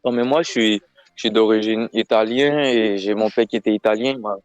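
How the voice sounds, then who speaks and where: A man's voice: lowish voice, fairly even intonation, fairly quick, no echo, faint music behind. Man on a phone call, short repetitive answers, muffled dry sound. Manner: repetitive, brief replies. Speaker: phone scammer.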